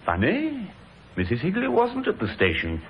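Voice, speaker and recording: An actor playing a cool, condescending, philandering husband in an old radio drama. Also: male voice, high voice, huge pitch swings, normal speed, dull audio, heavily acted. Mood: cool, evasive. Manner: cool, patronizing. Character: smooth-talking, condescending, philandering English husband. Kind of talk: 1950s radio drama acting. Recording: vintage radio recording with hiss.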